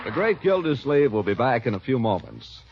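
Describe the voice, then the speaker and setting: A man working as a radio announcer, giving a scripted sponsor spiel and show narration with smooth, polished salesman delivery. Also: mid-range voice, big pitch swings, moderate pace, slight background noise. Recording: dull 1940s radio broadcast.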